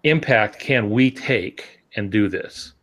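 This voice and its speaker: A man's voice: fairly low pitch, expressive, slow, fairly bright tone. Male podcast panelist, conversational explainer who reasons step by step and poses rhetorical questions. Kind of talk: casual explanatory discussion.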